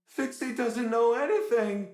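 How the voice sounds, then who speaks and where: A woman's voice: a little high, unhurried, warm tone, roomy sound, soft background music, natural voice. A woman voicing a simple-minded, downcast repair hologram in a video game, in short self-deprecating phrases. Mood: glum, confused. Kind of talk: video game cutscene acting.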